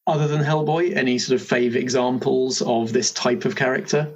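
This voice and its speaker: A man's voice: mid-range voice, steady tone, moderate pace. A man hosting a podcast in a casual, chatty style, recorded remotely at home. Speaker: host.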